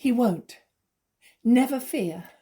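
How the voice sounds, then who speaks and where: A woman's voice: on the high side, lively intonation, takes it slowly, mellow, slightly rough, exaggerated voice. A woman playing a naive, emotional wife in a stage comedy, earnest and hesitant in manner. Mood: humbled, grateful. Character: naive, tearful, easily fooled jealous young wife. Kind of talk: theatrical stage comedy acting.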